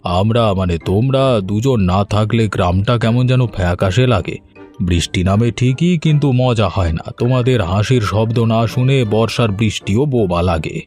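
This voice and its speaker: A man playing a good-natured, flattering middle-aged village farmer in an animated story, folksy and wheedling. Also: on the low side, moderate pace, faint music behind, lightly acted.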